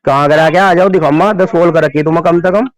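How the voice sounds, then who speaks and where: A man with a western Uttar Pradesh accent speaks off the cuff as an activist making a complaint. His voice is pitched somewhat high for a man. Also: fast talker. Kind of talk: spontaneous spoken complaint.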